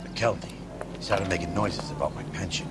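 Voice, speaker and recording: An actor playing a gruff, grumbling police detective in a video game, blunt and coarse in manner. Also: male voice, sits low, moderate pace, over loud music, close to an everyday voice.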